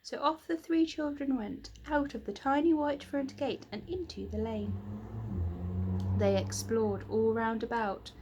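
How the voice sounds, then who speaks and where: A woman reading a children's story aloud in an expressive storytelling manner. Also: fairly high pitch, measured pace, background noise. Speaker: reader of children's stories. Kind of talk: expressive scripted storybook narration.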